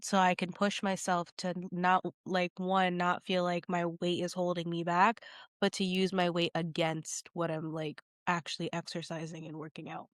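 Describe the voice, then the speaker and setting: A woman's voice: fairly low pitch, completely flat delivery, moderate pace, no echo, studio quality. A woman getting over a cold, sounding nasal, chatting as a podcast guest and rambling with lots of fillers. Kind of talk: casual conversation.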